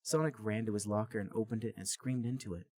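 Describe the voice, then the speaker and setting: A man's voice: lowish voice, steady tone, fast, darkish tone, dry recording. A man hosting a comedy podcast, narrating a story aloud in a plain storybook manner. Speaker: podcast host reading a story. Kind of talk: comic read-aloud narration.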